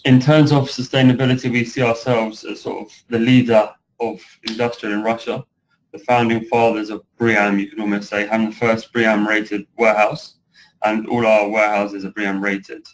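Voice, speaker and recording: British guy doing a webinar talk, really monotone, lowish voice, bit of background noise. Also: moderate pace, rough recording. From United Kingdom. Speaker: guest speaker, project director. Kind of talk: webinar presentation.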